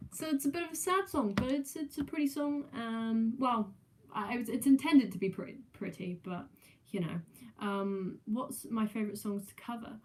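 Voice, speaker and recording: A young woman with a mixed southern English and New Zealand accent chatting casually on a live stream, halting with many ums and restarts, recorded without a proper microphone. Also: mid-range voice, a little mellow. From England, raised in New Zealand. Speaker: musician streamer.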